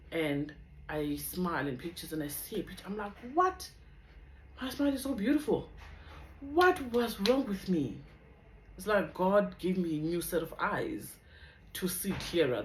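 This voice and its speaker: A young woman with a South African accent and a deep voice, chatting in a rambling, casual way as she shares a personal testimony on her vlog. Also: roomy sound, slight background noise, rough recording. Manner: chatty, rambling, drifts off topic. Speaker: vlogger.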